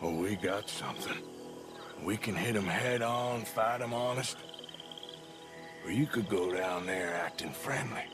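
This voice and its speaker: An actor playing a seasoned, laconic gunslinger in a western video game, dry and protective, with an American accent. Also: male voice, fairly low pitch, measured pace, pauses often, music in the background, natural voice. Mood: dry, scheming. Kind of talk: naturalistic video game acting.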